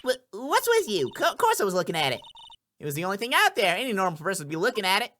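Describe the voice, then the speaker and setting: A man's voice: sits high, wildly swooping pitch, very fast, bright, forceful tone, slight background noise, pitched above the performer's own voice, full character voice. A man voicing a brash, flustered Southern woman witness in a courtroom game, with a folksy, indignant, stammering manner and a Southern US accent.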